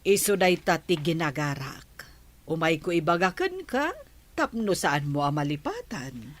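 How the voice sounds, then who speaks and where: A woman's voice: low-pitched, very animated, a little slow, heavily acted. A woman with an Ilocano accent playing a friendly, easygoing neighbor and business partner.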